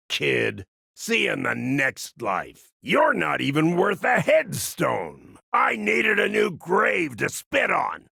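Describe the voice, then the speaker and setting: Video game role: old cyborg war veteran, heavy vocal grit, unhurried folksy delivery, wry swagger. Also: male voice, mid-range voice, bright, forceful tone, dry recording, clean studio sound, heavily acted. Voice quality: gravelly, husky. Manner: folksy slangy drawling wisecracks, calls others kiddo. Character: grizzled folksy old male cyborg soldier, wry and cocky. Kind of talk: video game character barks.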